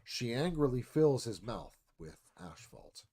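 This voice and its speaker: A man's voice: mid-range voice, very animated, a little slow, dark tone, slightly rough. A man narrating a screenplay, reading action and stage directions as dramatic scripted narration.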